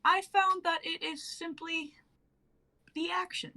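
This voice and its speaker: A woman's voice: very high-pitched, fairly even intonation, slow pace, bright, forceful tone, exaggerated voice. A woman reading the part of a breezy, cryptic female stranger in a stage play, casual and teasingly evasive. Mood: cryptic, assured.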